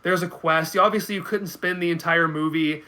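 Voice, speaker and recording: A man giving casual commentary as a guest, halting and often restarting his phrases. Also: fairly high pitch, fairly even intonation, bright, forceful tone. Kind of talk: casual chat.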